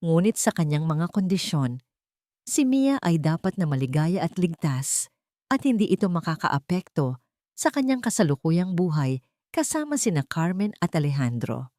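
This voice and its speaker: A woman narrates a scripted dramatic story in a measured, earnest manner. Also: fairly low pitch, big pitch swings, dark tone, dry recording, clean studio sound.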